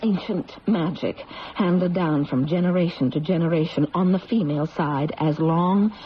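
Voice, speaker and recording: A troubled young woman played by an actress in a vintage radio drama, earnest and intense. Her voice sits fairly low and is delivered dramatically, over a dull, noisy old broadcast recording. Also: moderate pace, close to an everyday voice. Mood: haunted. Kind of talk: 1970s radio drama acting.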